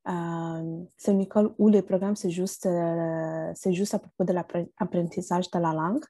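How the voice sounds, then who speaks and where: A woman's voice: fairly low pitch, fairly even intonation, normal speed, dark tone, no room sound. Hesitant woman, dry sound. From Italy. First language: Bengali and Italian. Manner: hesitant, many fillers and restarts. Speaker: interview guest. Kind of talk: conversational interview.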